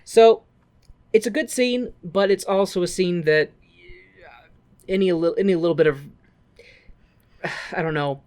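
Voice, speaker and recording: A woman's voice: on the low side, unhurried, long pauses, a little mellow. A woman co-hosting a podcast, recapping a story in a casual, humorous and theatrical way. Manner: theatrical, playful. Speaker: podcast co-host.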